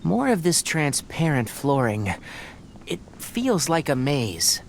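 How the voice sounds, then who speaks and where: Calm, scholarly young forest ranger. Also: male voice, a little high, slow pace, creaky, slight background noise, full character voice. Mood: calm, focused. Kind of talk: video game voice acting, measured explaining.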